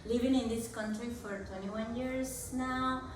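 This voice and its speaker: A woman's voice: on the high side, steady tone, measured pace, slight background noise. Earnest woman from Peru, echoey room. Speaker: wellness coach. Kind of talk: conversational explaining. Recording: very echoey room, far from mic.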